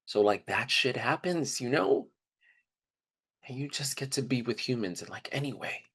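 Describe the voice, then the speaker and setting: A man's voice: medium pitch, expressive, normal speed, fairly bright tone, husky. Candid man telling a personal story. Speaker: trip leader for student service trips.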